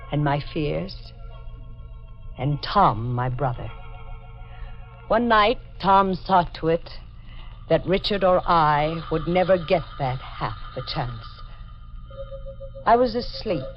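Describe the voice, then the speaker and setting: A brooding jealous woman in old radio horror, low voice, muffled vintage recording. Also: heavily acted. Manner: brooding, melodramatic first-person storytelling. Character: bitter, haunted, jealous young woman with scarred face. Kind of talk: 1940s radio horror acting. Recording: dull vintage radio recording with faint music.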